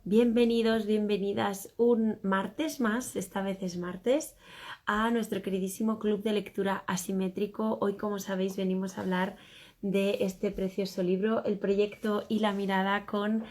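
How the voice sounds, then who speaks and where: A woman hosts a live online book club, her voice still a little hoarse. She talks effusively and speaks straight to her viewers. Her pitch sits in the middle range. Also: level tone, unhurried, a little mellow. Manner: effusive, addresses viewers directly. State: slightly hoarse. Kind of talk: live stream hosting.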